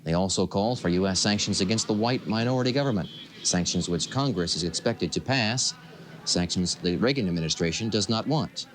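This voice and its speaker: A man's voice: low-pitched, a little fast, fairly bright tone, slight background noise. A man anchoring a local TV newscast in a broadcast delivery. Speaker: TV news anchor.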